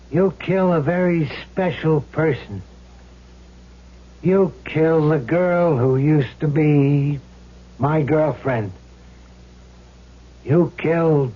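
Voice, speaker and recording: An actor playing a brash, vengeful ghost of a gangster in an old radio drama, bossy and sneering, on a muffled, noisy recording. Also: male voice, fairly high pitch. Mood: gloating, menacing. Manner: brash, bossy, sneering tough-guy slang. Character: cocky vengeful ghost gangster possessing another man's body.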